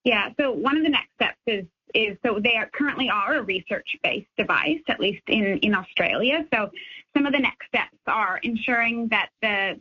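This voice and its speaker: A woman researcher explaining her work as a radio interview guest, in halting phrases. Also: mid-range voice, pushed and bright, husky, highs cut off.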